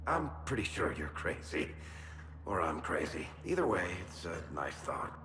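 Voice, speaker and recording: An actor playing a wry, roguish, sardonic storyteller companion in a video game. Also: male voice, low voice, unhurried. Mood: sardonic resolve. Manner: sardonic, joking, animated. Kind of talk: dramatic video game acting. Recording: echoing hall with background noise.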